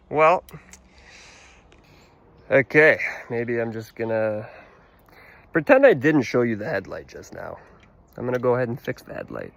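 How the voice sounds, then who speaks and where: A man's voice: mid-range voice, creaky, bright, forceful tone. A young man from Canada with a Canadian accent, rambling and swearing casually as he walks around his motorcycle for a vlog, talking into a handheld phone. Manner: casual and profane, rambling with restarts. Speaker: motorcycle vlogger.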